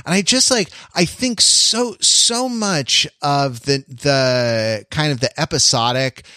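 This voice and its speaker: A man's voice: on the high side, bright, forceful tone, no echo. Male podcast co-host, casual film chat, searching and repetitive delivery, very dry close sound. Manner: halting, searching, repeats phrases as he thinks aloud.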